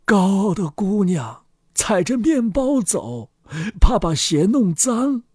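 Man as a high, mocking rhyme chanter. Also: sing-song, darkish tone, husky, dry recording, studio quality, exaggerated voice. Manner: drawn-out, sing-song. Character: mocking singer of a taunting rhyme. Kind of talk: storybook chanting.

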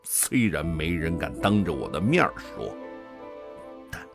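An actor playing a weary, guilt-ridden older male commander in a radio drama, brooding and deliberate with sighs. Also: sits low, very animated, vocal fry, music in the background, full character voice. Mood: guilty, resigned. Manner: brooding, deliberate, sighing soliloquy.

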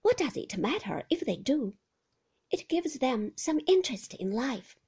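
A woman reading an audiobook voices a moody, brooding older husband in a slow, hushed half-whisper. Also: high-pitched, very animated, slightly rough, heavily acted. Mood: dismissive.

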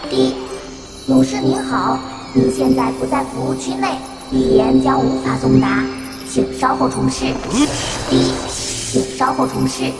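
Casting: magical automated operator, high and creaky, courteous singsong announcements, echoey over loud music. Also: female voice, big pitch swings, a little slow, distant mic, exaggerated voice. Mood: polite, mechanical. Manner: singsong recorded-announcement delivery. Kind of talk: fantasy radio drama acting.

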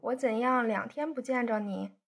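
A woman voicing a proud young woman who pouts and answers her suitor with sharp retorts. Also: on the high side, normal speed, creaky, husky. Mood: sulky.

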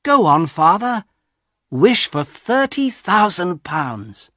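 A man's voice: high voice, slow pace, no room sound, studio quality, pitched above the performer's own voice, exaggerated voice. A man reading a story aloud and voicing an eager, teasing young man urging his father on. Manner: loud, teasing and excited.

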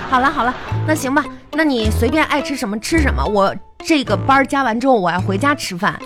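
A woman's voice: high-pitched, fast talker, music in the background, natural voice. A woman playing a curt, exasperated boss in a radio comedy sketch, brushing people off with brusque impatience. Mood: impatient.

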